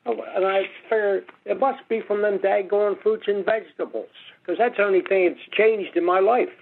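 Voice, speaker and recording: A man's voice: high voice, fairly even intonation, moderate pace, gravelly, a little hiss or hum. A man giving a chatty, folksy customer testimonial. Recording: tinny phone-line recording.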